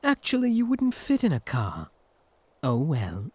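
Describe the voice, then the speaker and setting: A man's voice: on the high side, wildly swooping pitch, a little slow, mellow, breathy edge, no echo, exaggerated voice. A British man voicing a smug, possessive incubus suitor in a comedic ASMR roleplay, sarcastic and flirtatious. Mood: smug, taunting.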